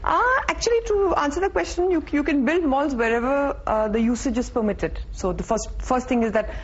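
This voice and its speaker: A woman's voice: high voice, a little fast, slightly rough. A woman with an Indian accent answering interview questions as a business executive, in a considered way that repeats phrases for emphasis. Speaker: real estate executive being interviewed. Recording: dull sound with faint background noise.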